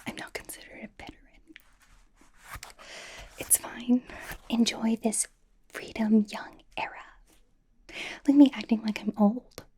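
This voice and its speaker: A woman's voice: on the high side, steady tone, unhurried, pauses often, mellow, close to an everyday voice. A woman playing a bubbly, encouraging young K-pop idol in an ASMR personal-attention roleplay, whispering breathily in a rambling, giggly, reassuring way. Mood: encouraging, playful. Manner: rambling, giggly, reassuring, casual youthful phrasing.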